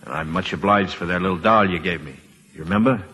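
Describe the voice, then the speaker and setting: An actor playing a gruff, guarded war veteran, terse and halting, with a husky voice, in an old-time radio drama. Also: male voice, deep voice, pushed and bright, full character voice. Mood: awkward. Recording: reverberant old radio broadcast.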